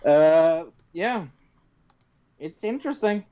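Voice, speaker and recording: A man's voice: fairly high pitch, animated, takes it slowly, mellow, smooth, muffled recording. A man hosting a podcast who chats casually and makes wry, joking asides. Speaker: podcast host. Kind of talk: casual chat.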